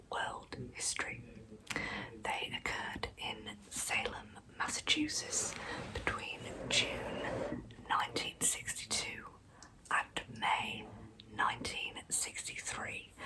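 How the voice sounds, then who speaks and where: A woman whispering breathily and deliberately as she hosts and narrates a true-crime story in ASMR style. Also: extremely low pitch, poor audio. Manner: soft, deliberate, slow delivery. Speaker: ASMR video host and narrator.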